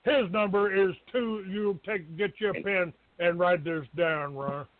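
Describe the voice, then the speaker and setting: A man's voice: high voice, measured pace. A man hosting a live call-in show in a combative, profane, domineering way, cutting people off. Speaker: talk show host. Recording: tinny, band-limited phone-like audio.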